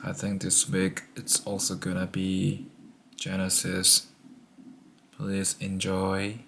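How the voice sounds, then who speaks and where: Flat, deep-voiced Korean-accented man reading. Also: gravelly, slight background noise. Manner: careful, deliberate reading. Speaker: Bible reader. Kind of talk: reading scripture aloud.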